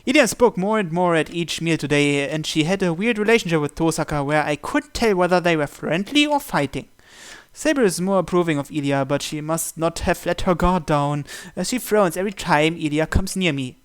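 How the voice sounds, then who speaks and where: A man's voice: a little high, expressive, a little fast, clean tone. A man narrating a visual novel in the first person, reading from the script.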